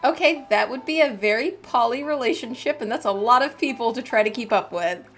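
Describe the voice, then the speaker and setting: A woman's voice: on the high side, lively intonation, a little fast, a bit bright. A woman game streamer reading story text aloud and commenting in a casual, agreeable way during a live playthrough.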